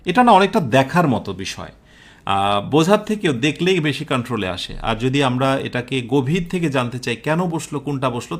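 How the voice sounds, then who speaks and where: Animated Bangladeshi male teacher. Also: mid-range voice. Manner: didactic, often puts questions to students and checks understanding. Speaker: English grammar teacher. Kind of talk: live exam-prep lesson, explaining step by step.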